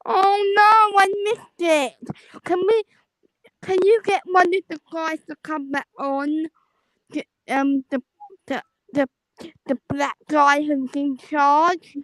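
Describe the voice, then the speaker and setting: A young woman from the Caribbean calling in, talking in a halting, repetitive way. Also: sits high, steady tone, a bit bright, no room sound, poor audio. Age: 20s. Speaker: caller. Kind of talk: casual chat.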